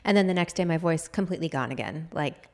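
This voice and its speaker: Slightly hoarse chatty woman podcast co-host. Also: low-pitched, fast talker. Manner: chatty, laughs often, lots of "like". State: slightly hoarse, froggy throat. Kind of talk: casual chat.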